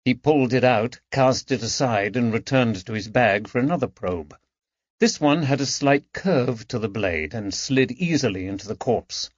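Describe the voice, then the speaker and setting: A man's voice: medium pitch, normal speed, dry recording. Dry, slightly dull male audiobook narrator. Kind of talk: scripted narration of a mystery novel.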